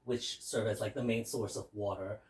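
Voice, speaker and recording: A man from Burma hosting guests at his home, casually explaining a festival with a lively storytelling manner. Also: on the low side, moderate pace. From Mandalay, Burma. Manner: lively storyteller, laughs while telling. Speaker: host. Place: home in Brooklyn.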